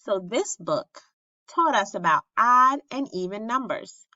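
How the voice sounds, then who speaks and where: Bright-voiced woman reading a children's story. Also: a little high, animated, a little slow. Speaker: teacher reading a picture book aloud. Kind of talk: expressive children's read-aloud. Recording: roomy, slightly muffled sound.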